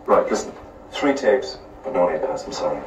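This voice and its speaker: A man's voice: sits low, animated, normal speed, a little mellow, far from the mic. An actor playing a harried but patient male care worker in a children's home, in a television drama. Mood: firm, kindly. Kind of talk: naturalistic television drama dialogue. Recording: echoey, muffled TV clips with music.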